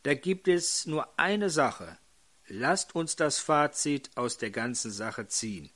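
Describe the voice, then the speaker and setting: An older man preaching a sermon in a hoarse voice, putting questions to his congregation. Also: fairly high pitch, animated, slow pace, a bit bright.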